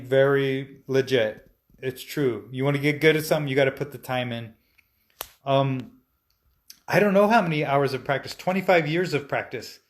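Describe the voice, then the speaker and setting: A man in his forties, a stop-motion animator, answering viewer questions in a relaxed, chatty way with frequent fillers and restarts. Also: mid-range voice. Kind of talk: casual live-stream Q&A, reading viewer comments aloud.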